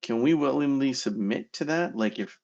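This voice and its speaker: A man's voice: mid-range voice, normal speed, gravelly, darkish tone, dull audio. A man playing in a tabletop horror game, asking the game master rules questions and dropping wry quips. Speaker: player. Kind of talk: casual chat at a tabletop game.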